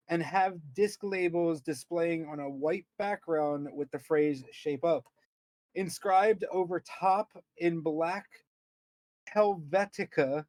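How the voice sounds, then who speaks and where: A man's voice: on the high side, darkish tone, dry recording. A man co-hosting a podcast, reading aloud with casual commentary, stumbling over words and repeating himself as he goes. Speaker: podcast co-host.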